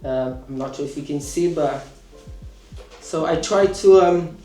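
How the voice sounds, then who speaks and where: A man in his thirties, a course student, answering interview questions with many pauses and restarts. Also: fairly high pitch, dark tone, roomy sound, soft background music, poor audio. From Portugal. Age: 30s. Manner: hesitant, many fillers and restarts.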